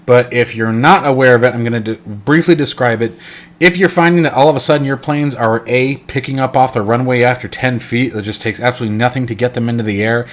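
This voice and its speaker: A man's voice: mid-range voice, quick pace. A congested man hosting a casual flight sim tutorial, rambling and correcting himself with lots of fillers. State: congested, stuffed up. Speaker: flight sim channel host. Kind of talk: casual screen-recorded tutorial.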